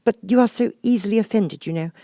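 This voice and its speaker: A man's voice: high voice, fast, dark tone, no room sound, studio quality, voice pushed up. A man voicing a polite, earnest, puzzled little girl in a storybook reading. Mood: pleading.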